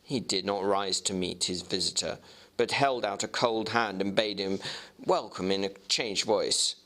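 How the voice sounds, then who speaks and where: A man narrating classic fiction in a theatrical, expressive way, as a dramatic reading. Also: lowish voice, a little slow.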